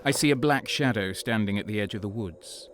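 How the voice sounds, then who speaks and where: A man playing a haunted traitor soldier who narrates his war in a grave, deliberate way for an audio drama. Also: lowish voice, a bit bright, slight background noise, close to an everyday voice. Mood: haunted, brooding. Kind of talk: dramatic scripted first-person narration. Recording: music under the voice. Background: dramatic music.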